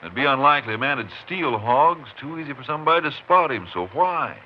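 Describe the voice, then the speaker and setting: An American man playing a steady, friendly Texas Ranger in a 1950s western radio drama, speaking in folksy, clipped phrases. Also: medium pitch, a little fast, lightly acted. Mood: probing. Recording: muffled, noisy 1950s radio recording.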